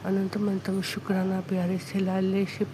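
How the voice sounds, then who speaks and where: A woman with a sore, hoarse throat giving an earnest devotional testimony, repeating thanks in halting phrases. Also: medium pitch, monotone, background noise.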